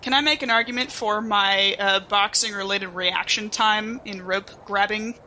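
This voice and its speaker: A woman's voice: medium pitch, steady tone, normal speed, bright, forceful tone, a little hiss or hum. A woman bantering casually as a player in a tabletop game, with a wry, joking manner.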